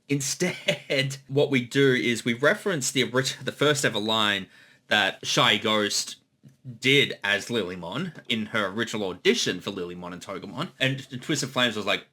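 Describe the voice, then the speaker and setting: A man's voice: medium pitch, pushed and bright. A man giving casual behind-the-scenes creator commentary, eagerly stammering and repeating words as he explains.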